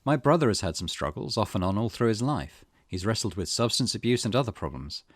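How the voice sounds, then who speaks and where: A man's voice: on the low side, lively intonation, fairly quick, no echo, studio quality. A man voicing an earnest, plain-spoken female manager, reading her interview quotes from a visual novel. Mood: sincere, concerned.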